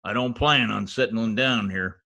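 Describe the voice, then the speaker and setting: A man playing a gruff, blunt gangster boss with tough slangy talk in a 1940s radio crime drama. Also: lowish voice, measured pace, sharp tone, full character voice. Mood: indignant, tough. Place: home, recorded over video call.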